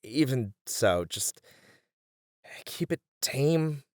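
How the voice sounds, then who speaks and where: A man's voice: medium pitch, animated, drawn-out words, a little mellow, no room sound, studio quality. A man acting an uptight, caring band manager, earnest and mildly exasperated, in an ASMR roleplay. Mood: exasperated, stern.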